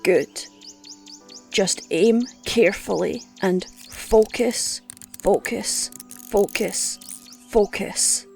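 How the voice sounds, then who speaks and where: An actress playing a gruff, scolding old woman who teaches hunting with blunt scorn in an audio drama. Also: mid-range voice, drawn-out words, pauses often, darkish tone. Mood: hushed, focused. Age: older. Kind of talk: audio roleplay drama acting. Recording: music under the voice.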